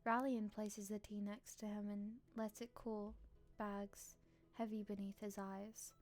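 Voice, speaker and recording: A woman streamer, playful and expressive, reading a visual novel aloud and chatting on a live stream. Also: mid-range voice, measured pace. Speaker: game streamer. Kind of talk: live stream chat and read-aloud narration.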